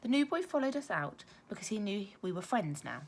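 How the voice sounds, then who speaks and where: A woman reads a children's storybook aloud expressively, like a primary teacher, with careful emphasis and dramatic pauses. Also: medium pitch, sing-song, slight background noise.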